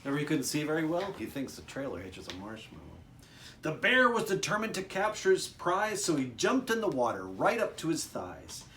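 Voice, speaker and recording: A man with a fairly high voice reads a picture book to children. His delivery is very animated, swinging up and down, with chatty little asides. There is some faint noise in the recording. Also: brisk. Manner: expressive, with chatty asides to young listeners. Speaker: children's author reading aloud.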